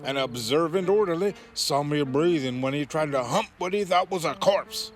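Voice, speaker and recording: A man's voice: a little high, moderate pace, close to an everyday voice. A man playing a vengeful skinless freak-show brother in a comedic audio drama, telling grisly tales in a folksy, taunting, theatrical way. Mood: bitter, grisly. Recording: music under the voice.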